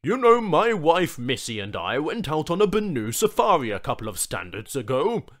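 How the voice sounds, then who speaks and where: A man's voice: a little high, sing-song, moderate pace, voice pushed up. A man voicing an eager, rambling, sycophantic corporate employee in an audiobook story. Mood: chatty. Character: chatty, sycophantic male office suit.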